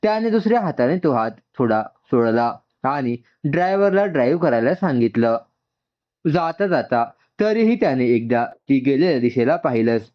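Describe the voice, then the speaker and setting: A man's voice: medium pitch, sing-song, moderate pace. A man reading a scripted story as a narrator, acting out its dialogue, on a slightly muffled recording. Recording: dull, muffled lo-fi sound.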